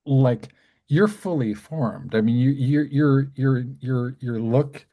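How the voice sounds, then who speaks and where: A middle-aged man hosts a casual interview in a halting, rambling manner, stopping and restarting his sentences often. His pitch sits in the everyday middle range for a man. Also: mellow. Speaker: podcast host and music journalist.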